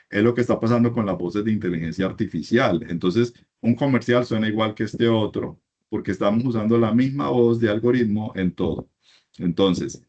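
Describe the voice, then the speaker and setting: A man's voice: lowish voice, a little mellow, lo-fi sound. A middle-aged man from Colombia giving a university class lecture, emphatic and repeating his points in lists.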